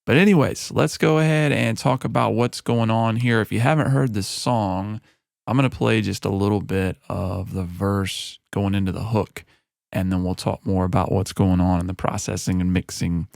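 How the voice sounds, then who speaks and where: A man who is a music producer giving a relaxed, chatty walkthrough of a mixing session into a broadcast mic in his home studio. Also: fairly low pitch, normal speed, vocal fry, no room sound. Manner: relaxed and conversational, with frequent fillers. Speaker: music producer and recording artist. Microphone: broadcast studio microphone.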